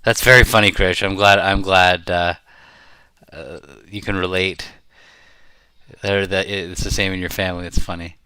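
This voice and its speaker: A middle-aged man giving casual live commentary as he plays a card game, rambling and halting as he thinks aloud. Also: sits low, level tone, bright, forceful tone, smooth, no room sound. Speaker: bridge player commentating while playing.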